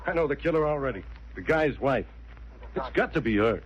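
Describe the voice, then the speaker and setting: An actor playing a brash, sarcastic police inspector in 1940s radio style, blustery and insistent. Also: male voice, medium pitch, animated, a little fast, close to an everyday voice. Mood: needling. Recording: dull, hissy old radio recording.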